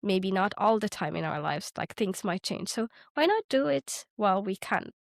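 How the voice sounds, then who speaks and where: A woman's voice: mid-range voice, sing-song, normal speed, gravelly, no room sound, clean studio sound. A young woman co-hosting a podcast, playful and upbeat, laughing often as she chats. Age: young adult. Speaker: podcast co-host. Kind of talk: casual interview chat.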